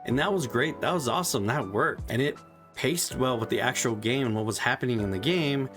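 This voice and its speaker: Man, game reviewer, frustrated ranting, lots of swearing, mid-pitched voice, soft music behind. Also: fairly quick.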